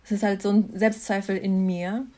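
Young woman with an even, steady pitch. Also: moderate pace. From Mannheim, Germany. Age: young adult. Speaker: interview guest, science student. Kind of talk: casual conversational interview, personal account.

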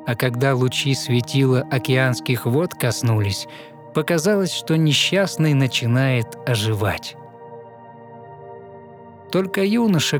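A man narrating a fairy tale in verse for an audio play, with measured, rhythmic recitation. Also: mid-range voice.

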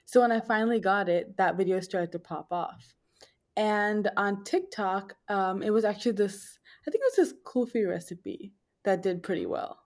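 Podcast guest, female, twenties, nasal mid-pitched voice, casual and conversational delivery. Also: lively intonation, moderate pace, darkish tone. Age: 20s. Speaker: podcast guest, food blogger.

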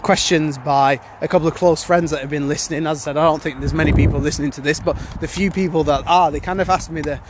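A man's voice: on the high side, steady tone, quick pace, hardly any pauses, noisy background. A man hosting a casual solo podcast, sounding congested from a cold and rambling with many fillers and restarts. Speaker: podcast host.

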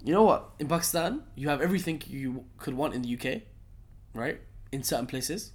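Young london guy chatting fast, slangy, keeps tagging "right?". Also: medium pitch. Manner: animated storyteller, lots of slang and "right?" tags. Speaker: guest. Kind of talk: casual chat.

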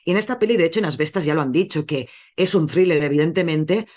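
Guy hosting a movie podcast, kinda high voice, rambling and fixing his own slips. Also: quick pace, a little mellow. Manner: chatty, self-correcting, laughs at own slips. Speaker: podcast host presenting a film. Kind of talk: casual film podcast chat.

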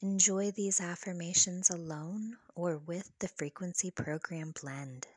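A woman with a slightly low, breathy voice leads a guided meditation. She speaks very slowly and soothingly, measuring out each phrase of her scripted affirmations. The sound is soft and close, with a very dry recording. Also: no echo. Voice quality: soft, breathy, partly whispery. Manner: soothing, slow, measured delivery.

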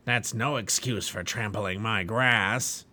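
A man voicing a huge, cruel male giant in a storybook reading, with a slow, rough voice. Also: on the low side, sharp tone, pitched below the performer's own voice, full character voice. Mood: scornful.

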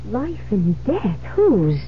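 An actress playing a newlywed bride, shaken and disbelieving, in halting old-time radio drama style. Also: female voice, medium pitch, huge pitch swings, exaggerated voice. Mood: wary, startled. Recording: muffled vintage radio recording with hiss.

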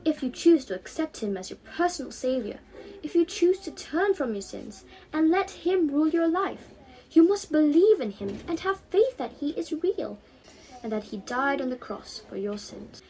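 A woman's voice: sits high, big pitch swings, moderate pace, dark tone, voice pushed up, low-quality recording. A teenage girl voicing a kind, devout young schoolgirl in a storybook, earnest and emphatic. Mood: reassuring, emphatic.

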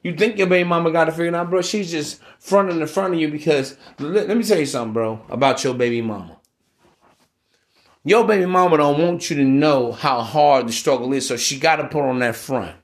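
American guy podcast host, kinda high voice, preachy street talk, keeps hammering points by repeating. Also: a little fast. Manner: emphatic repetition. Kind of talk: informal opinion monologue addressed to listeners.

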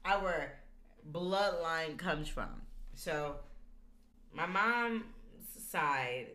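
An American woman hosting a podcast, telling stories in an emphatic, animated way in casual group chat. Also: low-pitched, drawn-out words. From United States. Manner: emphatic storyteller, animated, laughs often. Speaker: podcast host.

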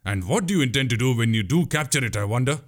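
A man's voice: mid-range voice, lively intonation, quick pace, natural voice. Casting: male narrator as storybook army commander, mid-range voice, sardonic, formal, sceptical. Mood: mocking.

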